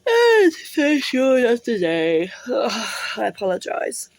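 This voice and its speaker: A woman vlogging casually while congested with a cold, apologetic and rambling, with many ums and pauses. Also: on the high side, huge pitch swings, dry recording. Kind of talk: casual talk to camera. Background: washing machine running.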